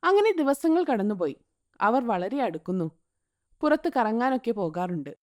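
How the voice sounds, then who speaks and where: A woman narrating a scripted moral story in an expressive, sing-song way. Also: fairly high pitch, moderate pace, no echo, clean studio sound.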